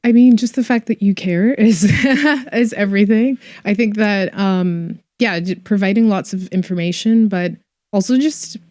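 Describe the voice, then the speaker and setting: A woman's voice: mid-range voice, measured pace, a little mellow, no room sound, clean studio sound. A young woman from Scotland with a mixed Scottish and Canadian accent, chatting as an actress guest, giggly and full of likes and ums. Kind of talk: casual interview chat.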